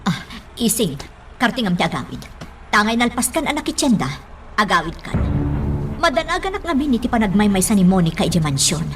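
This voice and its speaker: A woman's voice: medium pitch, very animated, a little fast, faint music behind, close to an everyday voice. An actress with an Ilocano accent playing an anxious, insistent lady of the house in a radio drama. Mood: anxious, urgent.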